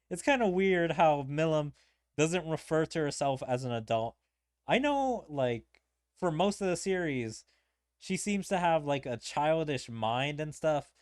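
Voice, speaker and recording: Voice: medium pitch, no room sound, clean studio sound. A streamer giving casual, rambling and hesitant commentary while playing through a video game story. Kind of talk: casual gameplay commentary mixed with reading dialogue aloud.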